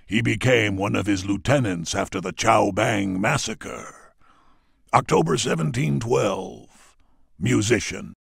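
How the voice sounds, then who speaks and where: Pirate chronicler, heavy fry, husky, dramatic declaiming for a game. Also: male voice, on the low side, lively intonation, slow, heavily acted. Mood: grim, declamatory. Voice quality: raspy, gravelly. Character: theatrical pirate ship chronicler reading crew records.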